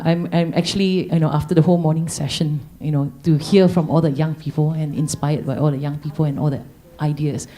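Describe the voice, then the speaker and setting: A businesswoman with a very low, very dark voice talks on a panel. Her speech is unscripted and halting, full of fillers and false starts as she works toward her point. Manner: hesitant, many fillers and restarts.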